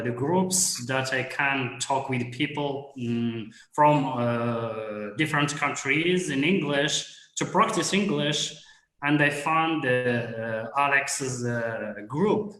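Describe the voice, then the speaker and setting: A man's voice: mid-range voice, sharp tone, echoey room, low-quality recording. A man, speaking in a hesitant way with many fillers as a guest on a video call. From Morocco. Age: 30s. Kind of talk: casual video call chat.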